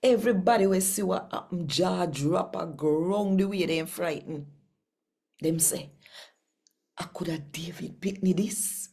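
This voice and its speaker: A woman with a Jamaican accent reading scripture expressively and addressing listeners directly, with deliberate, emphatic storytelling and pauses. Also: on the low side, vocal fry, mellow. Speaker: host reading daily scripture.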